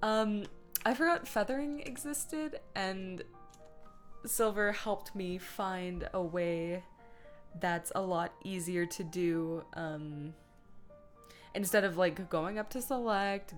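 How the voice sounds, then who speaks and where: Low-pitched woman streamer with music behind. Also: takes it slowly. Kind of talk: casual livestream chat while editing images.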